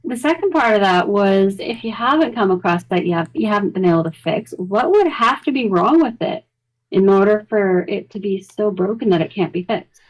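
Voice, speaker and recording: Woman co-host with mid-pitched voice. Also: expressive, moderate pace, rough recording. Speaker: podcast co-host asking questions. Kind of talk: casual conversational interviewing.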